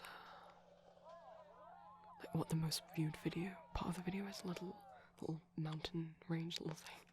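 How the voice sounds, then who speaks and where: A man playing a shy, gentle vampire boyfriend in an ASMR roleplay, whispering softly and rambling hesitantly over a TV soundtrack. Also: on the high side, slight background noise, close to an everyday voice. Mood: sleepy rambling. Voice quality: soft, breathy whisper. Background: film audio and music from a TV.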